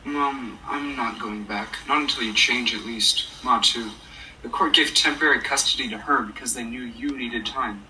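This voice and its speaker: An exasperated, worried son played by a man in a radio drama. His voice is very sharp and of medium pitch. He sounds some way from the mic, with slight noise. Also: normal speed. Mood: firm, weary. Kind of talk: radio play acting. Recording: distant mic, faint background noise.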